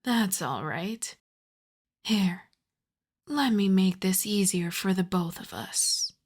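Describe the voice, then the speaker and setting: Slow, haughty vampire countess, acted by a woman. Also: medium pitch, lively intonation. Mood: teasing, coaxing. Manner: deliberate, haughty, teasing, drifting into breathy whispers. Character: predatory aristocratic older female vampire countess, teasing and imperious. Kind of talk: ASMR roleplay, slow theatrical monologue.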